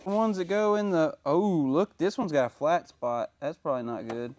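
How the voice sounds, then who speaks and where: A man's voice: on the high side, moderate pace, darkish tone. A man chatting casually as a mechanic, thinking aloud and asking questions. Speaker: mechanic, co-host. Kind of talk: casual shop chat. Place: workshop. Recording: noisy workshop with clicks.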